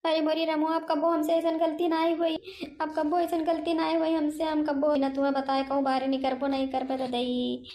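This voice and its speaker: A woman's voice: sits high, completely flat delivery, fairly quick, warm tone. A woman voicing a frightened plump village woman in a cartoon comedy, pleading and wailing with an Awadhi accent.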